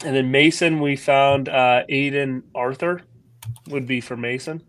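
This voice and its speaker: A man guesting on a casual podcast, speaking hesitantly with many pauses and restarts. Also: mid-range voice, steady tone, a bit bright, a little hiss or hum. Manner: hesitant, full of ums and restarts. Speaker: guest comic creator. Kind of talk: casual interview chat.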